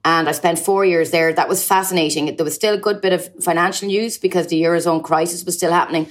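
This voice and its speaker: A woman's voice: fairly low pitch, fairly even intonation, fast talker. A woman in her forties from Ireland with an Irish accent, a journalist answering interview questions fluently, with frequent ums and uhs. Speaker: journalist guest.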